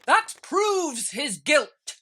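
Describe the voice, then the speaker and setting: A woman voicing an imperious, bullying storybook queen with loud, domineering, drawn-out declarations. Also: high-pitched, big pitch swings, voice pushed up, heavily acted.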